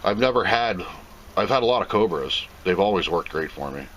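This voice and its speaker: A man's voice: low-pitched, lively intonation, a little fast, a bit bright, lo-fi sound. A man giving a casual, plain-spoken unboxing commentary, rambling and thinking aloud as he goes. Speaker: video host reviewing a product. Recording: clear background noise.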